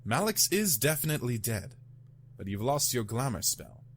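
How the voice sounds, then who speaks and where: A cool, blunt demon man from a visual novel game , played by a male actor. He is dry and teasing, with lively intonation and faint noise behind. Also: medium pitch, moderate pace.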